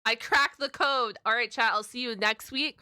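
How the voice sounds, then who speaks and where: A woman with a fairly high, crisp voice gives excited reaction commentary. She is playful and slangy, with bursts of exclamation, and sounds bright and close in a dry recording. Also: normal speed, no echo. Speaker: reaction video host.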